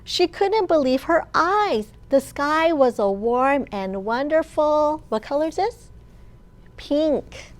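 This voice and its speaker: A woman children's librarian reading a picture book aloud to young children, sing-song, with questions and pauses for them to answer. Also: high voice, animated. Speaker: children's librarian and storytime host.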